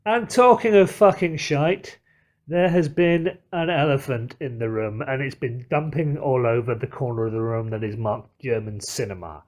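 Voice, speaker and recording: A man's voice: medium pitch, normal speed. A man co-hosting a podcast, chatting about films with wordy, theatrical phrasing. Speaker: podcast co-host. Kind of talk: casual film discussion.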